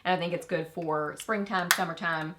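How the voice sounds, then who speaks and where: A woman with a Texan accent chatting through a casual makeup review, bubbly and easily excited, with rambling asides. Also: lowish voice, normal speed, very noisy. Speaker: beauty vlogger.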